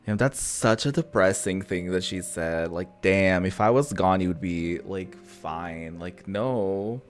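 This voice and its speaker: Very low-pitched expressive game streamer. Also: takes it slowly. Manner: expressive, reactive, slangy. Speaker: streamer playing and reading out a visual novel. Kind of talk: casual gameplay commentary mixed with narrated reading. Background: game music.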